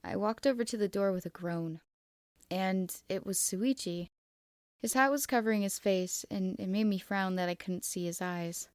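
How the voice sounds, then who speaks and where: A woman reading fan fiction aloud as expressive first-person narration. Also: mid-range voice, normal speed, smooth, no echo, studio quality.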